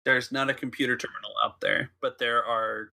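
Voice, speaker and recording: A man's voice: medium pitch, steady tone, brisk, creaky, sharp tone. A man running a tabletop game as game master, describing the map and calling for dice checks.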